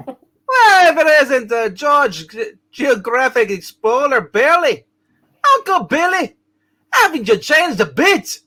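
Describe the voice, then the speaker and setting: A man acting a cheerful, boyish younger brother in a hammed-up amateur reading, chatty and halting. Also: extremely high pitch, expressive, sharp tone, full character voice. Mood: excited.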